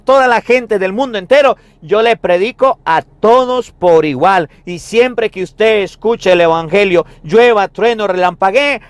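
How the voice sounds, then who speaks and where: High-pitched male preacher in echoey room. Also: clean tone. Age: 30s. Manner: emphatic, repetitive, with rhetorical questions. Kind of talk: sermon on the Gospel.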